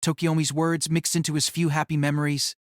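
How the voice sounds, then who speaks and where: A man's voice: on the high side, flat delivery, brisk, no room sound. Dramatic male narrator, dry close sound. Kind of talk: dramatic scripted narration of a fantasy novel.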